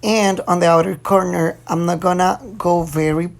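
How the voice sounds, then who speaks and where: A young man from Colombia, a native Spanish speaker with a Colombian Spanish accent, talking through a makeup tutorial in chatty, slightly halting English. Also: sits high, steady tone, vocal fry. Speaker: makeup vlogger. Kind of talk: casual makeup tutorial talk.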